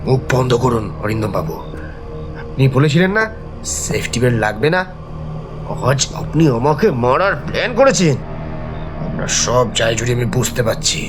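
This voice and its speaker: An actor playing a paranoid, violent middle-aged man in an audio thriller, agitated and melodramatic with a gravelly edge. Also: medium pitch, animated, normal speed, pauses often, over music, lightly acted. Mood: furious, accusing.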